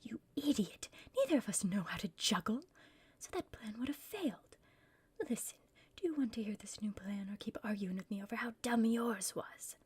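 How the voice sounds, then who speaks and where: A woman's voice: on the high side, expressive, normal speed, darkish tone. A woman playing a bossy, scheming female villain in a whispered ASMR roleplay, impatient and conspiratorial with her partner. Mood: exasperated, scolding. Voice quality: breathy whisper.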